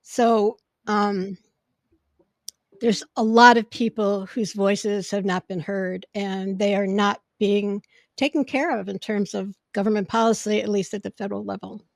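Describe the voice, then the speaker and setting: A woman hosting a podcast in casual chat, with a loud voice that projects when she gets excited. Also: mid-range voice, a little slow, gravelly, dry recording. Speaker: podcast host. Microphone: podcast microphone.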